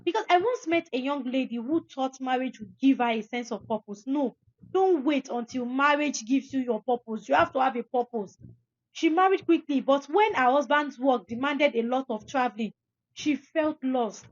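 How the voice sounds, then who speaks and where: A woman's voice: high voice, moderate pace, dry recording, dull audio. A young woman podcast host, giving emphatic, preachy advice to listeners and repeating phrases for stress. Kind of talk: advice talk, addressing listeners.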